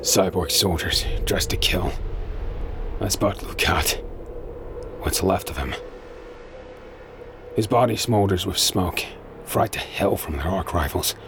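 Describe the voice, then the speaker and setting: A man's voice: deep voice, slow pace, long pauses, background noise. A man narrating an audio drama in the first person with terse, tense intensity, over music.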